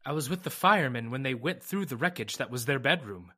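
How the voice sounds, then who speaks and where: A man playing a shaken, earnest witness in old-time radio drama, speaking in a halting, dramatic way with stammered repetitions. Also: mid-range voice, expressive, pushed and bright. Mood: uneasy, awed. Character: shaken, earnest man recounting an uncanny fire, Mister Lamont.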